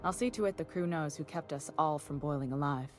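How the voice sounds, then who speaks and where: An actress playing a weary, exacting middle-aged chief engineer of a space station in a video game, composed, dry and formal. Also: female voice, low-pitched, brisk, soft background music, natural voice. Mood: relieved. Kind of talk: video game dialogue acting.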